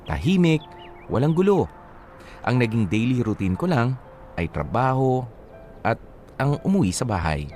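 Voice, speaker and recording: A man playing a troubled young working man, narrating his letter in an earnest, measured way for radio drama. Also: medium pitch, big pitch swings, a little mellow. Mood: earnest, reflective. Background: music.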